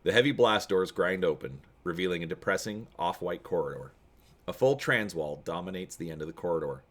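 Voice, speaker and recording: A man giving a dramatic narrated reading of an adventure story, expressive in his storytelling. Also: low voice, fairly quick. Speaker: reader and host of a gamebook read-through.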